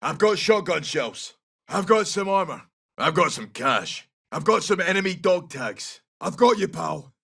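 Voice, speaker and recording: An actor playing a tough, loyal Scottish special forces soldier in a video game, giving short, clipped callouts in a gravelly voice. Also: male voice, sits high, sing-song, a bit bright, far from the mic, heavily acted. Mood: brisk, businesslike. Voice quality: husky, gravelly. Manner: clipped, brisk, urgent.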